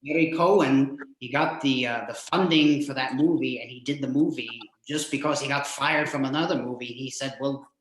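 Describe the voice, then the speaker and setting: A man hosting a casual interview, talking in a halting, rambling way and repeating words as he goes. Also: mid-range voice. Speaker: show host, interviewer. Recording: echoey room sound.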